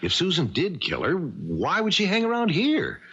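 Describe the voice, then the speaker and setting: Old muffled radio mystery: sceptical confidant, husky, wry, hugely animated pitch. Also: male voice, moderate pace, heavily acted. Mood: sceptical, reasoning. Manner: wry, reasoning. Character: sceptical, level-headed confidant, wryly reproachful male friend. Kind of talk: 1970s radio mystery acting. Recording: dull vintage radio recording.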